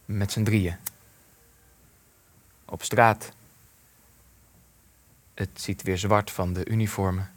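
An actor playing a gruff, bitter old former resistance fighter in a Dutch radio play, speaking with sardonic deliberation. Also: male voice, on the low side, level tone, slow pace, long pauses. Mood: sardonic, weary.

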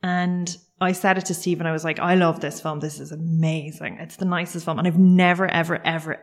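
A woman's voice: low-pitched, fairly even intonation, fairly quick. A woman from Cork, hosting a podcast and chatting enthusiastically with her guest. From Cork, Ireland. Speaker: podcast host. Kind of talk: casual interview chat.

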